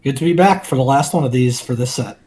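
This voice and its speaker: A man co-hosting a card game review, talking in a measured, analytical way with some filler ums. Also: medium pitch, a little mellow. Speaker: co-host.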